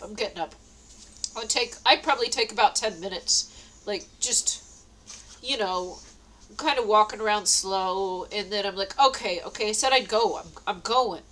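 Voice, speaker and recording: A woman's voice: mid-range voice, a bit bright, poor audio. Stuffy-nosed woman rambling about her day. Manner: meandering, many fillers and restarts. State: stuffy nose from a cold. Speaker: vlogger. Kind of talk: rambling personal diary talk. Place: at home indoors.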